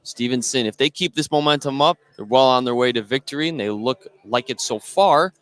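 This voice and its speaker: A man's voice: medium pitch, a little fast, fairly bright tone. A man doing live play-by-play radio commentary on basketball, in clipped running phrases that follow the action. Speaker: play-by-play announcer. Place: indoor basketball game. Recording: clear background noise from live gym.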